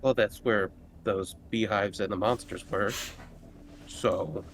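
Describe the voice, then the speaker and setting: A man's voice: on the low side, steady tone, slow, creaky. A man playing a tabletop roleplaying game, chatting casually at the table. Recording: noticeable background noise.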